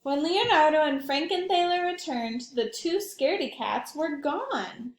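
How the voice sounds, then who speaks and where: A high, very lively woman's voice reads a picture book to small children in a roomy space. She takes her time and adds simple asides to explain the story. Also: unhurried. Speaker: early childhood read-aloud storyteller.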